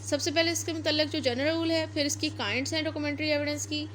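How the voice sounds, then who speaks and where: A woman with a distinctly high voice and a Pakistani accent teaches law. She explains point by point in lecture style, dropping English legal terms into her sentences. Some background noise sits behind her voice. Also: steady tone, fast talker, fairly bright tone.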